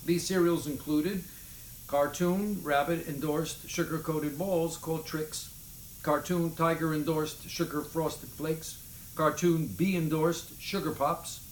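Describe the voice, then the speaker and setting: A man's voice: on the high side, steady tone, slow. Man reading aloud in an echoey room. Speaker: reader of a memoir chapter. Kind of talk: expressive live reading of humorous memoir prose. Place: indoors in a city apartment. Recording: echoey room, faint background noise.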